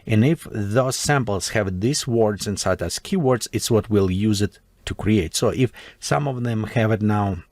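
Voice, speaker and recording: A man with a Russian accent walks through a software tutorial at his desk, thinking aloud as he types, his English broken and non-native. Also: fairly low pitch, dry recording. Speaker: tutorial host. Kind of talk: screen-recorded software tutorial.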